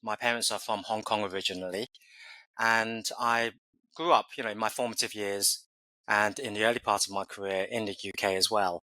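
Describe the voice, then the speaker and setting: A man's voice: on the low side, fairly even intonation, brisk, pushed and bright, no room sound, poor audio. A man in his forties from England, answering interview questions thoughtfully with many fillers and restarts. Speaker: podcast guest.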